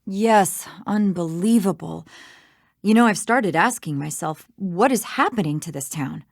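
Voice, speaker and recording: A woman's voice: lowish voice, expressive, a little slow, vocal fry, dry recording, studio quality, full character voice. A woman playing a confident, teasing small-town lawyer in a video game, with a wry, self-assured manner. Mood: exasperated. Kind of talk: scripted video game dialogue.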